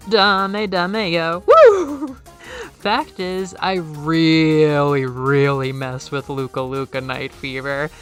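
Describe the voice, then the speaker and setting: A young woman with a soft, airy, slightly nasal voice sitting in a fairly low register, chatting casually as if to her viewers. She rambles and repeats words, then jumps way up in pitch when she gets excited. Faint music plays underneath. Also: slow. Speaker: YouTuber. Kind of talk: casual video essay.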